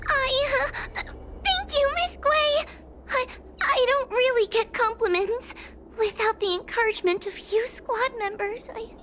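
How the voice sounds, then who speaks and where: A woman's voice: extremely high pitch, measured pace, background noise. A woman voicing a timid, anxious young female judge in a video game, speaking in a hesitant, polite and earnest way. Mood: shy, flustered.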